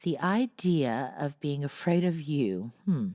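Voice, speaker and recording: Bold outspoken teen girl, blunt and brisk, low lively voice, read by a woman. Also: no room sound, studio quality. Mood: frank. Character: bold outspoken teenage girl, frank and hearty.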